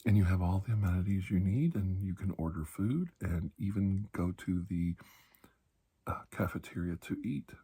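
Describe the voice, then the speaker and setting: A man playing a calm, reassuring doctor in an ASMR roleplay, speaking softly and slowly with pauses, often near a whisper, in a breathy, husky voice. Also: deep voice. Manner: slow, calming, deliberate with long pauses. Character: calm, reassuring older military doctor treating alien infection.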